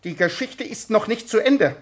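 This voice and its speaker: A man's voice: high-pitched, expressive, normal speed. An actor playing a strict male schoolteacher in a comedic radio play, commanding, clipped and sarcastic in manner. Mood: sardonic, demanding. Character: strict, barking male gym and mathematics schoolteacher.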